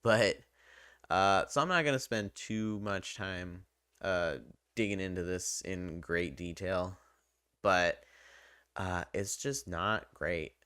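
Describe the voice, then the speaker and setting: Low-voiced casual man, crisp and bright. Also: slow, no echo, clean studio sound. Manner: informal, hesitant, self-deprecating. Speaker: video game reviewer and channel host. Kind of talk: casual unscripted commentary.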